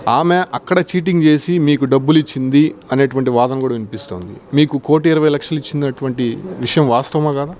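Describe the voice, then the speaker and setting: A male news interviewer with a medium-pitched voice. He puts probing questions in long, formal sentences, sometimes hesitating as he builds them. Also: fast talker, darkish tone, a little hiss or hum.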